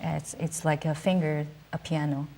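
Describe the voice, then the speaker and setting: A woman singer from southern China, a native Cantonese speaker, talking in a studio interview with many pauses and fillers. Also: deep voice, flat delivery, dark tone. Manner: hesitant, many ums and uhs, laughs easily. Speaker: singer, guest. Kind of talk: casual interview chat.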